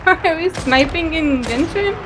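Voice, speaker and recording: A woman's voice: very high-pitched, lively intonation, moderate pace, background noise. A woman chatting casually as she streams a game. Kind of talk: casual livestream chat while gaming.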